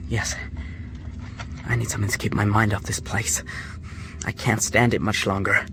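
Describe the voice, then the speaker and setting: A man's voice: lowish voice, level tone, moderate pace, gravelly, background noise. An actor playing an earnest, anxious young male American prisoner, a devoted son, in a radio drama. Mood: hopeful, yearning. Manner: hesitant, earnest.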